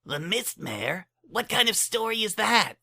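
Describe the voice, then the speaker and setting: Woman voicing a brash filly with swooping pitch. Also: big pitch swings, a little slow, vocal fry, bright, forceful tone, exaggerated voice. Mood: scornful. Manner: scoffing, brash. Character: sceptical brash young orange filly pony.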